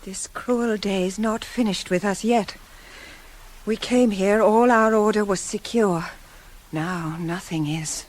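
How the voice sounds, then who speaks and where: A grave woman attending a stricken king in a radio tragedy. Her medium-pitched voice moves slowly and deliberately through the verse, in solemn lament. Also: a little mellow. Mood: mournful. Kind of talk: 1980s radio play, classical tragic verse acting.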